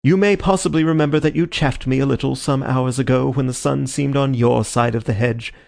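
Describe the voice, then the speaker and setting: A man reading an audiobook, voicing a brisk, theatrical male detective who speaks crisply and courteously. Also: mid-range voice, steady tone, a little mellow, natural voice. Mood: assured. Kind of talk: audiobook character voice.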